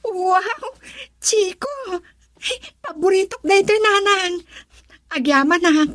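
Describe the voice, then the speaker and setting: A woman's voice: very high-pitched, slow, a bit bright, dry recording, studio quality, exaggerated voice. A woman playing a tender, anxious young pregnant wife in an Ilocano radio drama, with a Filipino accent. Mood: grateful, tender.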